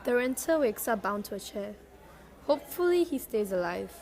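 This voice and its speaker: A woman's voice: on the high side, sing-song, moderate pace, darkish tone. A woman reading scripted narration and news-style lines for a radio drama, with faint noise behind her. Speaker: narrator and newsreader.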